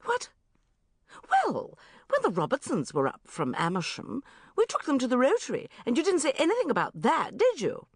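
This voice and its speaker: Bossy chattering older mother, done by a woman reader, shrill and scolding, lively pitch. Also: normal speed, breathy edge, dry recording, clean studio sound, exaggerated voice. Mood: icy.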